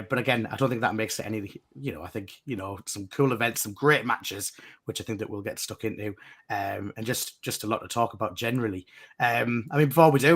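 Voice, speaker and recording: A man hosting a wrestling podcast, his voice croaky from being unwell, rambling and restarting. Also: medium pitch, a bit bright. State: croaky voice from recent illness. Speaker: podcast host. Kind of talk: casual podcast chat and news reading.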